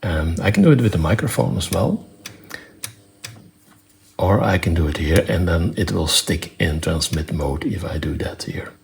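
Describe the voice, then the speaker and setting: Very deep, gravelly man giving a technical demo. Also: animated, a little hiss or hum. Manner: matter-of-fact, step-by-step, halting phrasing. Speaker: presenter demonstrating own electronics project. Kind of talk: unscripted technical demonstration.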